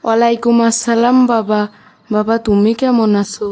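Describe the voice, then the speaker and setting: Woman acting gentle older Bangladeshi mother. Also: a little high, little pitch movement, moderate pace, natural voice. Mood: loving. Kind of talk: cartoon dialogue.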